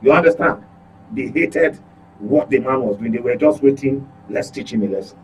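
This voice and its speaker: A man's voice: medium pitch, moderate pace, a little hiss or hum, low-quality recording. A Nigerian man speaking as a guest commentator in a political discussion, emphatic and repeating phrases for stress.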